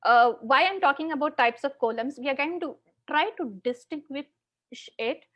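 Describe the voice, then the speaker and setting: A woman with a South Indian accent presenting an interactive online lesson, halting and often repeating words as she explains. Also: sits high, a bit bright, lo-fi sound. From Chennai, South India. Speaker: teacher, online conference presenter. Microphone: video call.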